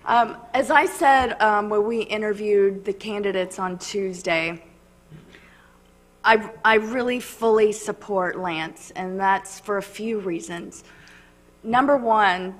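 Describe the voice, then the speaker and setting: A woman on a city council, slightly hoarse, earnestly making her case point by point at a meeting. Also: medium pitch, slow. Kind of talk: formal remarks at a council meeting. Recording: long reverb of a council chamber.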